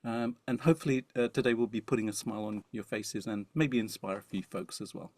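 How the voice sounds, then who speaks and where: Brief: man, gravelly, dry close sound, self-deprecating joker, rambling webinar co-host. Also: medium pitch, fast talker, darkish tone, no room sound. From Indian heritage. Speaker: musician, webinar co-presenter. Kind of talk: joking webinar talk.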